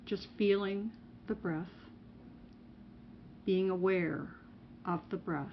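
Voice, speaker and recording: A woman narrating a guided meditation as a mindfulness teacher, in measured, instructional phrases with pauses between them. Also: lowish voice, fairly even intonation. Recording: muffled recording with faint background noise.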